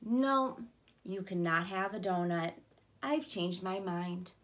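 A woman voicing a calm, polite but firm male panda in a children's storybook, speaking in a measured way. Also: on the low side, voice pushed down.

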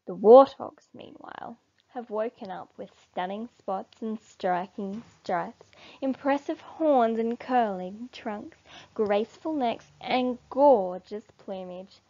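Slow-reading woman telling a children's story. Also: a little high, warm tone, lo-fi sound. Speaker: storybook reader. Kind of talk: expressive children's story narration.

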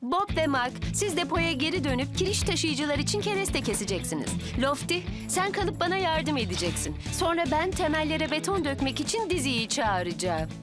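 A woman playing a capable, cheerful young female builder in a cartoon dub, organizing things in an animated way. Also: sits high, normal speed, hardly any pauses. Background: music.

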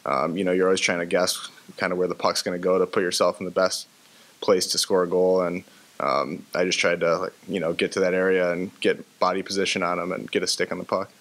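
A young man, a college hockey player, answering questions at a postgame press conference at a table microphone, low-key and halting with many fillers. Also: little pitch movement, fairly bright tone. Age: young adult. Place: press room with sponsor backdrop.

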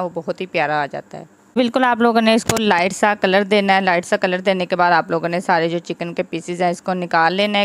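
Chatty woman teaching home cooking. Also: lowish voice. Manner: chatty, instructive, repeats "aap logon ne". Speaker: cooking channel host. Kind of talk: home cooking tutorial voice-over. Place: home kitchen.

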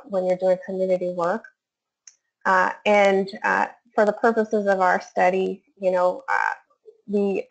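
A woman's voice: mid-range voice, gravelly. A woman researcher giving a webinar presentation, hesitant, with many fillers and restarts. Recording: dull lo-fi webinar audio.

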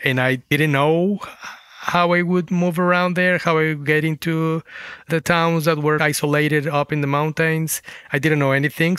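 A man's voice: a little high, a bit bright, smooth, no room sound. Man, close dry sound. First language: Spanish. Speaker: guest author, former journalist. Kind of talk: reflective interview storytelling.

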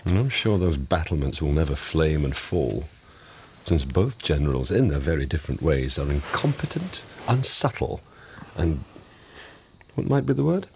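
An actor in a radio drama playing a haughty, urbane lord of an old Japanese court, talking in a dry, deliberate manner. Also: male voice, a bass voice, big pitch swings, a little mellow, a little hiss or hum, heavily acted. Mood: sly, disdainful. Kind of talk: BBC radio drama acting.

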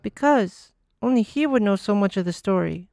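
A man voicing a shy, earnest little girl who speaks softly and nervously. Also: high voice, animated, moderate pace, vocal fry, in a large hall, voice pushed up. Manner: soft and nervous.

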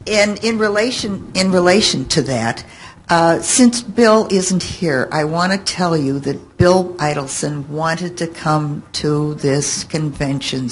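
An older woman answering questions on a panel, haltingly, thinking aloud, into a table microphone in a conference room. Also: low voice, a little hiss or hum, poor audio. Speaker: panelist and longtime fan. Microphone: table microphone on stand.

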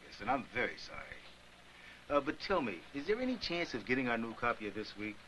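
A cordial middle-aged businessman smoothing things over by phone in an old training film. His voice is bright and very expressive, with faint noise behind it. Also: mid-range voice, a little fast. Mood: cordial, conciliatory. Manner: apologetic, cordial. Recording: faintly noisy 1980s film soundtrack.

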